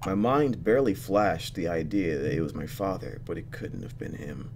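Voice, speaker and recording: Male let's player, lower register, expressive, switches between reading game text and commentary; faint noise and hum behind. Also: normal speed. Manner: expressive, thinking out loud. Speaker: let's player reacting to a visual novel.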